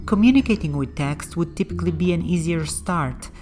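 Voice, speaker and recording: A woman host narrating a scripted voice-over about learning languages, in a calm, explanatory way. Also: lowish voice, brisk. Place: voice-over, not in the game. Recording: music bed behind the voice.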